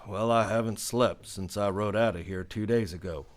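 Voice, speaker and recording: A man's voice: lowish voice, normal speed, natural voice. A man with an American accent playing a weary, stern, brooding marshal in a western radio drama, speaking in a terse and grave way.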